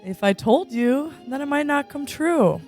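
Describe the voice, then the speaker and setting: A woman playing a sardonic young podcast guest, deadpan, in a comic parody of old films. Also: high voice, a little slow. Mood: wry, game. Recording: music behind the voice. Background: music.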